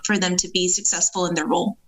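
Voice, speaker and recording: A woman guest on a podcast, a business owner who answers in an earnest, steady way with frequent ums. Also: on the low side, fast. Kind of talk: interview answers.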